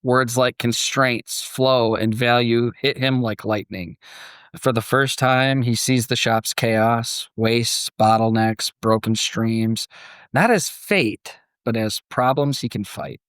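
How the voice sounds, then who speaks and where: A man's voice: medium pitch, takes it slowly, a bit bright, smooth, no echo. Man hosting a podcast, dry close sound. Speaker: podcast host. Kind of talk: podcast conversation with scripted story narration.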